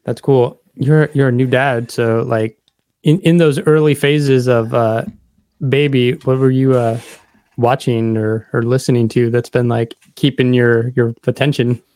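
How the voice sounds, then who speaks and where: A man's voice: mid-range voice, steady tone, unhurried, a little mellow, no room sound. A man hosting a podcast interview in a casual, conversational style. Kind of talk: casual chat.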